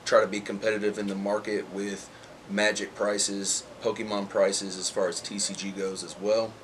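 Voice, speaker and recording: Male small-business owner, informal promo, deepish monotone, slightly noisy location sound. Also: moderate pace. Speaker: comic shop owner, sponsor. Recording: noticeable background noise.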